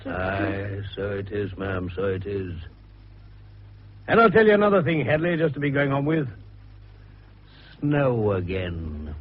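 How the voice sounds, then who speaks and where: An actor playing a shrewd, jovial older doctor turned detective in a British radio drama, avuncular and fond of teasing questions. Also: male voice, fairly low pitch, sing-song, a little slow, pauses often. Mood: emphatic, probing. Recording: dull lo-fi radio recording with hiss.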